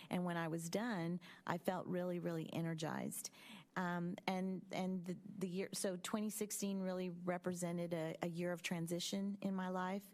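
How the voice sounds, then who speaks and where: A woman in her fifties talks in a low, even voice with little rise and fall. She reflects on her own experience at a school board meeting, pausing often with ums. Her delivery is measured and thoughtful. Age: 50s.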